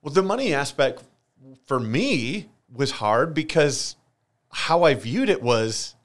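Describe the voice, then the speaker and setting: A man's voice: on the high side, sing-song, slow pace. An American man in his forties from Michigan talks candidly about his own life in an interview, earnest and confessional, repeating phrases for emphasis. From Michigan, United States. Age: 40s. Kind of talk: candid personal testimony in a conversational interview.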